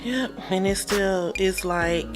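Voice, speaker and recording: A woman co-hosting a podcast in casual chat, her voice deep, heavy and congested with allergies, speaking colloquially and repeating phrases. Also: takes it slowly, music in the background. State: allergy-affected, clearing throat. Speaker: podcast co-host.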